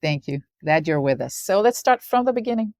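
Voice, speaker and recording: A woman hosting an interview, giving a warm introduction and asking direct questions. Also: mid-range voice, lively intonation, fairly quick, no room sound, studio quality. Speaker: podcast host, interviewer.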